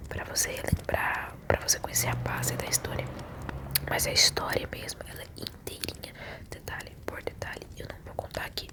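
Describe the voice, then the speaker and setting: A Brazilian woman telling a story in a soft, intimate, soothing ASMR whisper. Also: normal speed, low-quality recording. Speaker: ASMR video creator telling game stories.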